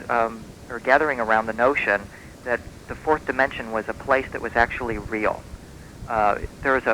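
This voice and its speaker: A man, a guest author in a radio interview, explaining things in an earnest, measured and emphatic way, taped from shortwave radio. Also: medium pitch, sharp tone, slight background noise, poor audio. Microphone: radio broadcast.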